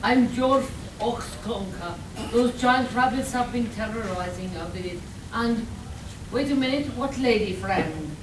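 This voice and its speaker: Woman playing slow, melodramatic villager. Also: on the high side, lively intonation. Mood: bewildered. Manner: melodramatic, emphatic. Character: earnest, excitable rescued villager. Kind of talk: live radio play acting. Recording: echoey, noisy hall recorded from far off.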